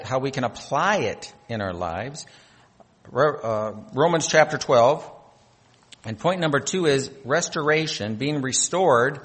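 A man's voice: mid-range voice, a bit bright, long reverb tail. A man from North Dakota preaching a sermon, reading scripture aloud with rising emphasis on key phrases. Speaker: pastor.

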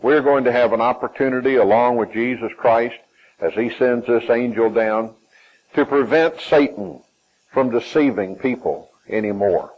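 A Southern-accented man preaching, his voice slightly husky and mid-pitched. He leans hard on key phrases, swinging up and dropping down as he drives each point home. The recording sounds dull and lo-fi. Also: normal speed. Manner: emphatic preaching with rising and falling pitch and stretched phrases. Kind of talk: sermon.

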